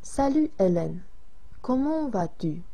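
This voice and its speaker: A concerned young woman checks on her friends, as a woman reads her lines. The voice is unhurried, soft and mellow, with a slightly breathy edge, in the plain manner of a recorded lesson dialogue. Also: mid-range voice, sing-song, dull audio, heavily acted.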